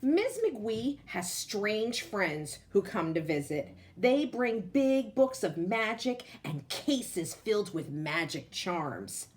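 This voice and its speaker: Slow, animated woman reading a children's story. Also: mid-range voice. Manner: slow, deliberate, emphatic phrasing for young listeners. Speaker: teacher reading a picture book aloud. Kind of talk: expressive children's storybook read-aloud.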